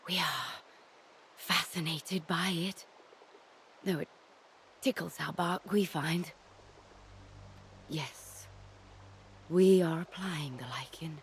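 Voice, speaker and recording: A woman voicing a gentle, ancient tree-spirit healer in a video game, solemn and patient, speaking in halting, deliberate, oddly formal phrases. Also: deep voice, slight background noise. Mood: calm, patient. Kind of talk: video game cutscene acting.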